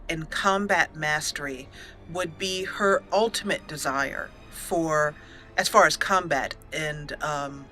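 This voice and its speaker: A woman's voice: low voice, sharp tone. A woman hosting a let's play, switching between storytelling narration and commentary on her roleplay game.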